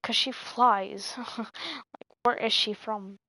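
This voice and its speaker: A woman's voice: on the high side, slow pace, pushed and bright. A woman streamer chatting casually while playing a game, giggly and playful. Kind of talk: casual gameplay stream chat. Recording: game music in background.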